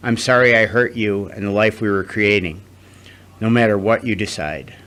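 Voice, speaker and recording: Low-voiced repentant husband played by a man. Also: normal speed, fairly bright tone, lightly acted. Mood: contrite. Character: repentant, defensive unfaithful husband. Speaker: actor in an audio drama. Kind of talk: scripted audio drama acting.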